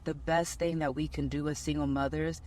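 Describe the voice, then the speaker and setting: A woman's voice: deep voice, little pitch movement, normal speed, slight background noise. Earnest woman giving a faith testimony. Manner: earnest, gentle, heartfelt. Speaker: single mother sharing a testimony. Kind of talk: earnest personal video testimony.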